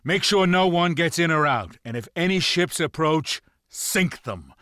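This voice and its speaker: Commanding pirate clan lord, dry sound. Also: male voice, fairly high pitch, expressive, unhurried, sharp tone, no echo. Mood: determined. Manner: authoritative, declamatory. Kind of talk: video game cutscene acting.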